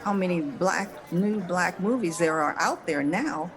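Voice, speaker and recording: Female discussion panelist, lowish register, informal talk and reading aloud, other voices clearly heard behind. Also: measured pace, a crowd in the background. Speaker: panelist on a holiday discussion show. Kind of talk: casual discussion and poem reading.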